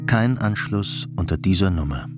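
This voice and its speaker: A man's voice: sits low, expressive, normal speed. A man narrating a radio drama in a scripted literary style. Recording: music behind the voice. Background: music.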